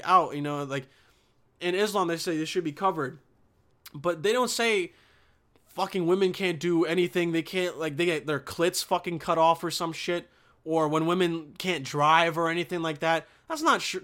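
A man's voice: fairly high pitch, fairly bright tone. A young man from Bosnia talking in a casual, rambling monologue, swearing often and restarting his sentences. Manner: profane, restarts sentences, slangy.

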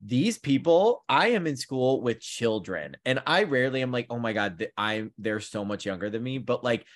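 A man in his thirties co-hosting a podcast, telling stories in an animated, chatty way with lots of fillers. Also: medium pitch, moderate pace. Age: 30s. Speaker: podcast co-host; counseling student. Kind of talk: casual chat.

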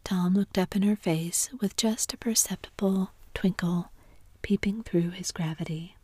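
A woman narrating a classic story in a soft whisper throughout. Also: on the low side, fairly even intonation, measured pace, breathy edge, no room sound. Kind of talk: whispered audiobook reading.

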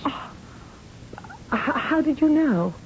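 A woman's voice: high-pitched, expressive, takes it slowly, heavily acted. An actress playing a distraught woman scientist and loyal wife, stammering emotionally in an old-time radio drama. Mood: nervous, evasive. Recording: muffled, noisy old radio recording.

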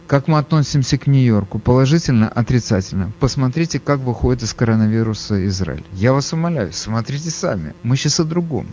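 A man hosting a call-in radio show, briskly steering callers and cutting them off firmly. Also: medium pitch, lively intonation, a little mellow, a little hiss or hum. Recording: dull lo-fi radio audio.

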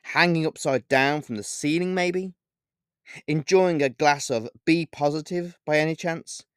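A man voicing a sarcastic young woman heroine with mocking, exaggerated high inflections in a storybook reading. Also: measured pace, a bit bright, clean tone, dry recording, studio quality.

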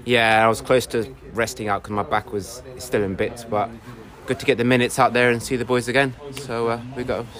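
A man's voice: medium pitch, little pitch movement, a little fast, few pauses, fairly bright tone. A man, an amateur footballer, talking casually after a game in a stop-start, self-correcting way. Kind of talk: casual post-match chat. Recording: busy crowd chatter behind.

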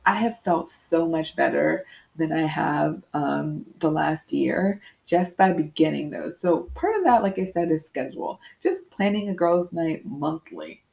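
A young woman hosting a candid solo podcast, talking reflectively with frequent pauses, slightly congested. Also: low-pitched, gravelly, a little mellow. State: congested with sniffles. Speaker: podcast host.

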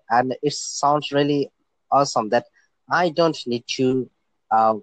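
A man's voice: mid-range voice, no echo, rough recording. Hesitant young man from Bangladesh. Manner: hesitant, many fillers and restarts. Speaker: guest. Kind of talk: casual interview talk.

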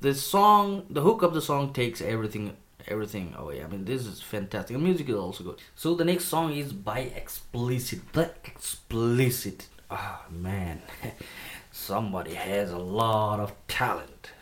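A man's voice: fairly low pitch, sing-song, moderate pace, fairly bright tone. A man from Nepal, a native Nepali speaker, hosting a casual video review of rap songs, chatty and enthusiastic while joking about his put-on accent. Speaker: music reviewer.

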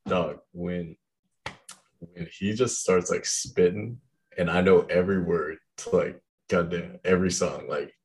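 A young man with a deep voice chatting casually about the music he loves, rambling with lots of fillers. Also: fairly even intonation, a little slow, a little mellow. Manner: rambling, heavy use of "like".

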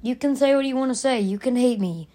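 A raspy young woman hosting her own podcast, arguing her opinion emphatically and repeating her points. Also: sits high, quick pace, dark tone. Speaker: podcast host. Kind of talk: opinionated solo podcast rant.

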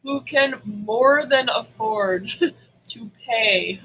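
A woman's voice: a little high, extremely slow, fairly bright tone, a little hiss or hum, low-quality recording. A woman co-hosting a casual podcast chat, speaking in a blunt, sardonic, sweary way. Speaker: podcast co-host.

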